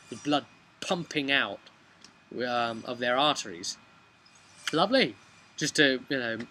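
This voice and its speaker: Bright-voiced man telling game stories. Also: mid-range voice, poor audio. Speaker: game master recapping a tabletop session. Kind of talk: casual vlog storytelling. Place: outdoors by a brick house wall. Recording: clear outdoor background noise.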